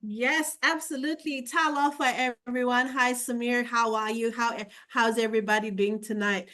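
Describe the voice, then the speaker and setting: A woman's voice: fairly high pitch, steady tone, moderate pace, pushed and bright. A woman from Fiji, whose first language is Fijian, speaking as co-host of a business presentation over a video call. She talks in a chatty way with many fillers.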